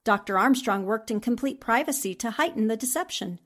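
A woman's voice: a little high, lively intonation, brisk. A woman playing a plucky, determined newspaper reporter in a 1940s-style radio drama, with an earnest, dramatic delivery. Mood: explaining.

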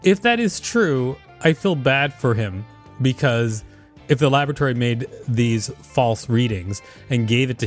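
A man co-hosting a podcast, reading an encyclopedia article aloud and commenting on it. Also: mid-range voice. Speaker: podcast co-host.

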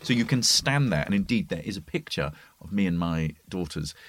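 Man, middle-aged, lowish voice, keen storyteller in casual chat, dry sound. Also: expressive, fairly quick, dry recording. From near York, England. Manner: eager agreeing, descriptive storyteller.

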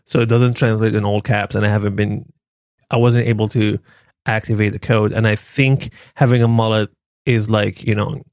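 A man co-hosting a podcast with a soft, playful way of talking in casual chat. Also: lowish voice, little pitch movement, normal speed, no echo, studio quality. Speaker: podcast co-host.

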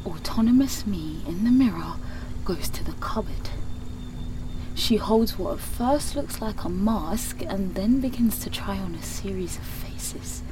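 A woman's voice: mid-range voice, lively intonation, measured pace, noisy background, lightly acted. An actress playing an anguished young woman in a first-person audio drama monologue, intense and raw, lingering on her words. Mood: hushed dread. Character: anguished young woman confronting her wounded mirror self. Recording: music behind the voice.

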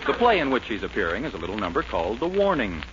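Male 1940s show announcer: animated, polished, emphatic, on a dull vintage broadcast. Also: lowish voice, quick pace, background noise. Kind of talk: 1940s radio announcing, scripted. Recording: muffled 1940s radio recording.

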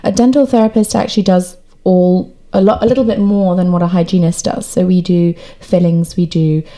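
A woman guest, a dental therapist, explaining her work with eagerness in quick bursts in a clinic room. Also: lowish voice, steady tone, warm tone. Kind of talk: conversational explanation.

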